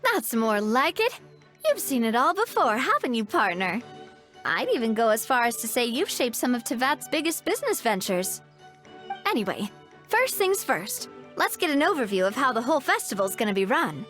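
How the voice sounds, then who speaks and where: A woman voicing a confident, upbeat young festival organizer in a video game, breezy, expressive and reassuring. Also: fairly high pitch, normal speed, soft background music.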